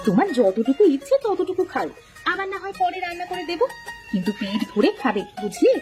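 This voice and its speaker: Casting, female actor: lively-voiced cartoon housewife, high register, brisk, affectionate scolder. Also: faint music behind. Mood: caring. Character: brisk, caring village wife, slightly bossy and longing. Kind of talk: cartoon story dialogue.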